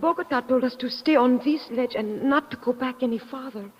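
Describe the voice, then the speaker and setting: An actress playing an earnest, anxious young Latin woman, husky and intense, in 1950s radio drama style. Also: high-pitched, a little fast. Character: earnest, anxious Latin woman seeking her brother's killer. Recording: muffled old radio recording with reverb.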